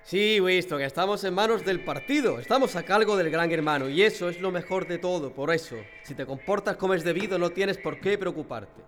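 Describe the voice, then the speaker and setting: A man's voice: high-pitched, expressive, fairly quick, few pauses, a bit bright. A man from playing a brainwashed prisoner in a radio drama, pleading fervently for devotion to his oppressors. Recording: long hall reverb, faint music behind.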